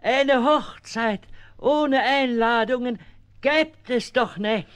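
A dutiful talking animal at court in a children's radio play. His voice is high, his speech slow and formal. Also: a bit bright, clear, resonant tone, exaggerated voice. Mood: concerned, deferential.

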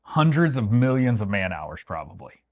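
A man's voice: lowish voice, very animated, moderate pace, darkish tone. A man co-hosting a travel vlog, chatting casually and giving opinions in a playful, wry way with mock-grand emphasis. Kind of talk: casual opinionated review chat.

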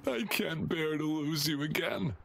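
Slow, anguished devoted father. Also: fairly high pitch, vocal fry. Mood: relieved, tearful. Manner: emotional, faltering. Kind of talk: video game voice acting.

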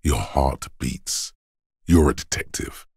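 An actor voicing the brooding inner voice of a detective's body in a video game, gravelly and husky, speaking gravely and deliberately with theatrical weight. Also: male voice, very deep voice, warm tone, no echo, clean studio sound, full character voice. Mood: grave, measured. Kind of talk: scripted game narration in second person.

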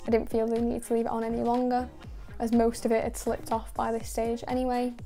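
Young woman, detailing host voice-over, very flat delivery, mellow tone, faint music underneath. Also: on the high side. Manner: calm, measured, with many "sort of" and "kind of" fillers. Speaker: car detailing channel host. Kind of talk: voice-over narration of a car wash.

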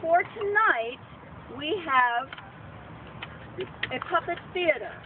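A middle-aged woman with a fairly high voice announces a show from an outdoor stage. The recording is thin, with clear background noise. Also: expressive, takes it slowly, phone-quality sound. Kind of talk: live stage introduction. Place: outdoor festival stage, camera at a distance.

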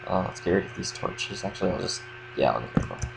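A young man with a slight cold, casually explaining a game build in a relaxed, rambling way with lots of fillers. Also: low-pitched, fast talker, creaky, noisy background. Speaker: game tutorial creator. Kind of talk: casual gameplay tutorial commentary.

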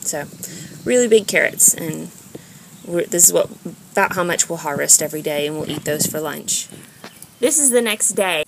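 A woman's voice: fairly low pitch, animated, moderate pace, gravelly. An American woman vlogger chatting informally as she talks through things she is filming on a handheld camera. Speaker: home vlogger. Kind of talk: casual haul voice-over while filming. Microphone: handheld camera or phone, filming items. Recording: noisy handheld amateur recording, clicks.

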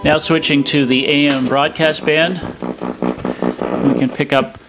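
A man explaining a demonstration in a measured, matter-of-fact way, with a radio playing. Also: on the high side, fairly even intonation, low-quality recording. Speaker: presenter demonstrating a vintage radio. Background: radio playing music and stations.

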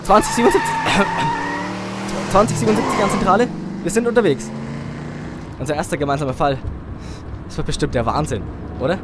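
A man's voice: sits high, huge pitch swings, fairly quick, long pauses, heavy background noise. A man playing a stammering, eager young rookie cop. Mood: chirpy. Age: young adult. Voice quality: stammering, chatty. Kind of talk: comedic audio drama.

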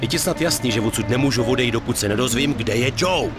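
A man's voice: mid-range voice, music in the background, natural voice. A man playing a cool, loyal mafia henchman in a video game, polite but insistent. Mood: insistent, wry. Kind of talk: dubbed video game dialogue.

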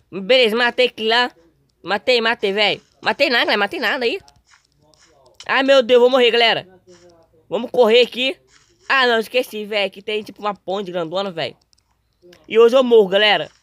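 A young male gamer with a Brazilian accent talks casually through his gameplay. His voice is slightly hoarse and his delivery is informal and full of slang. The recording is close and dry. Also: high-pitched, fairly bright tone, no echo. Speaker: gaming video host.

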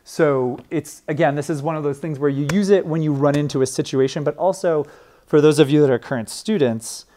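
A man's voice: a little high, a little fast, dark tone. Chatty man teaching a class. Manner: casual, chatty, with many fillers and restarts. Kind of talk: informal classroom talk.

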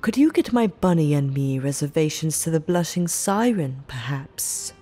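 A woman's voice: low-pitched, animated, moderate pace, dark tone, faint music behind. A woman playing a playfully menacing, eccentric mob underling in an audio roleplay, speaking coyly in theatrical, old-fashioned phrases. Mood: silky, menacing. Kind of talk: ASMR audio roleplay acting.

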